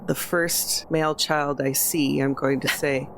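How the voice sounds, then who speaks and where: A woman's voice: deep voice, fairly even intonation, unhurried, crowd noise, low-quality recording. A woman taking part in a tabletop role-playing game as a player, talking casually.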